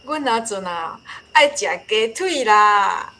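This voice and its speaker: A woman's voice: mid-range voice, lively intonation, takes it slowly, bright, forceful tone, heavily acted. An actress playing a homely, fond old village woman in a radio drama, with a Taiwanese accent. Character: elderly village woman, fond and plain-spoken family elder.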